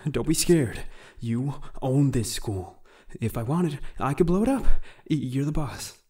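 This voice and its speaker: A woman voicing a volatile rich teenage boy who bullies others and erupts into shouted rage. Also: extremely low pitch, animated, a little slow. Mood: menacing. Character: volatile rich male teen bully. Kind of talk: fan animation voice acting.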